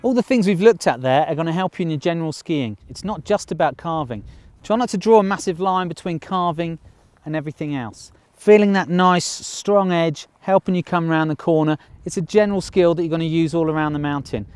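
A man's voice: on the high side, a little fast, a little mellow, clear, resonant tone, dry recording. A man in his thirties giving a ski lesson outdoors on a snowy slope, explaining in a plain, upbeat way with light jokes. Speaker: ski instructor.

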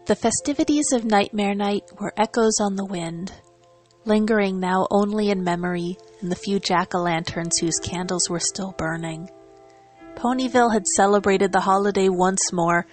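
A woman's voice: medium pitch, moderate pace. Woman narrating a story over music. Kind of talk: dramatic scripted story narration.

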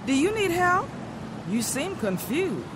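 A kind, helpful female stranger in a radio drama. Her pitch moves a lot, and clear street noise sits behind the voice. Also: very animated, slow, full character voice. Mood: concerned, helpful. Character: kind, concerned stranger offering help. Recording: noisy street ambience behind.

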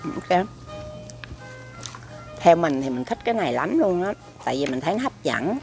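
A middle-aged woman with a Southern Vietnamese accent reviews food to a camera across a restaurant table. Her voice is low and gravelly, and she rambles warmly, repeating words and leaning on fillers. Faint music plays behind her. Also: very animated, normal speed. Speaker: food vlogger. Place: restaurant table by a window, indoors.

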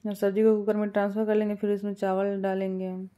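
Woman, cooking host, calm step-by-step explainer, mellow and even delivery. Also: medium pitch, fast talker. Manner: calm step-by-step instructions with small fillers. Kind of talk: home cooking tutorial voice-over.

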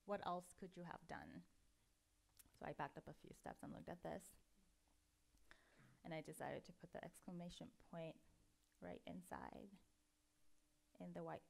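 A woman in her thirties giving a relaxed, chatty talk at a conference, often pausing with ums. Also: on the low side, gravelly. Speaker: conference speaker. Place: dark auditorium, at a lectern before an audience.

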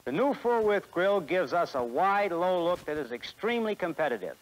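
A man's voice: sits high, big pitch swings, moderate pace, slight background noise, rough recording. A man in his forties with an American accent giving a scripted sales presentation in a 1960s promotional film. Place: film studio beside a truck.

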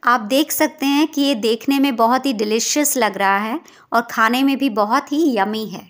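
A woman's voice: fairly high pitch, normal speed. A woman from India hosting a cooking channel, narrating a recipe step by step in an instructional way.

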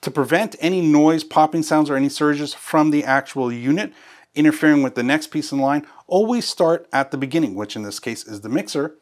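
A man's voice: fairly high pitch, a little fast. A man in his fifties hosting an instructional walkthrough, explaining each step in a casual way. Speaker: host of audio gear tutorial. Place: small indoor studio. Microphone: lavalier.

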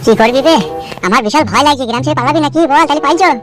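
A man's voice: very high-pitched, rapid-fire, fairly bright tone, faint music behind. A man voicing a scheming, coarse-talking, nervous village crook in a comedy cartoon. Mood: anxious, bickering. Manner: coarse slangy back-and-forth.

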